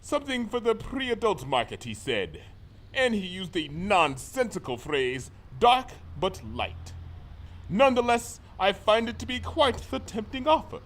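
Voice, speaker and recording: Villain creature: high male voice, wildly swooping delivery, pompous, suave, menacing, formal storybook grandeur. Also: sing-song, a bit bright, exaggerated voice. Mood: smug, intrigued. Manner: formal, theatrical, deliberate. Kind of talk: dramatic fan fiction reading.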